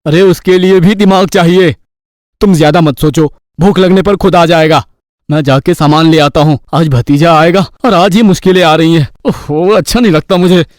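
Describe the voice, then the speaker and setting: Irritable village father for a cartoon fairy tale male actor, scolding, raised pitch, dry recording. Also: moderate pace, no echo, close to an everyday voice. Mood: irritated. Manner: scolding, grumbling. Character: exasperated middle-aged village father, short-tempered and scornful.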